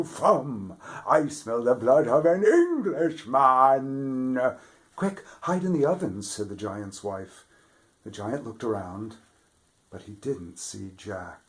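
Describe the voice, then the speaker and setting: A man reading a children's fairy tale aloud with expressive delivery, dropping to whispers for suspense. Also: on the low side. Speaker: storybook reader, theatre regular. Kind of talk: children's story read-aloud.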